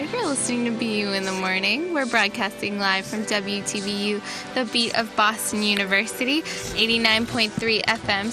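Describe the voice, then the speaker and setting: Woman college radio host. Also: fairly high pitch. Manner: upbeat station announcements. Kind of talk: radio hosting.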